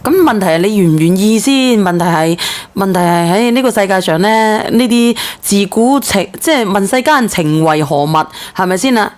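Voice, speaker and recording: A woman's voice: medium pitch, moderate pace. A woman with a Hong Kong accent hosting a call-in chat, blunt and pressing in her questions, with coarse slang. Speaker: call-in show host.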